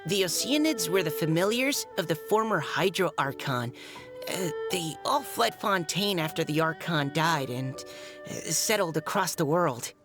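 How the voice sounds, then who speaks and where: A woman's voice: low voice, expressive, unhurried. A woman playing an earnest, knowledgeable young female adventurer in a video game cutscene. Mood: earnest explaining. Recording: background music under dialogue.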